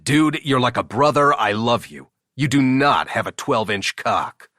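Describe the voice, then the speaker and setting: Man playing an irritable boss, animated and dry-sounding. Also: mid-range voice, measured pace, dry recording, pitched above the performer's own voice. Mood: exasperated. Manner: terse, exasperated, blunt. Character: irritable, commanding male billionaire boss. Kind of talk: audiobook character dialogue.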